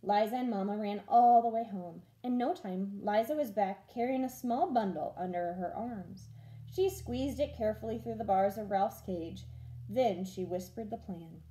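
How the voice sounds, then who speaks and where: A woman teacher reading a children's storybook aloud in an expressive storytelling style. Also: medium pitch, moderate pace, low-quality recording.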